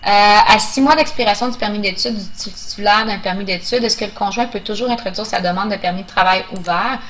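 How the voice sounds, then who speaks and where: A woman's voice: medium pitch, fairly quick, fairly bright tone, rough recording. A woman answering questions in a webinar as an immigration officer, explaining procedures in a hesitant, informal way.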